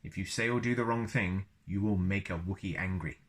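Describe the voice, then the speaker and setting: A man's voice: low-pitched, brisk. A man reading a book aloud as a storyteller, with playful, chatty asides for young listeners. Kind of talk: read-aloud narration with casual asides.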